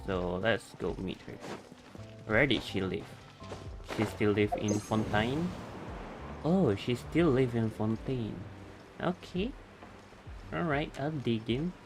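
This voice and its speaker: A woman's voice: very deep voice, expressive, slow pace, pauses often. A woman streamer, chatting bluntly while playing a game and reacting with mild swearing. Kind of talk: casual game commentary. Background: game music.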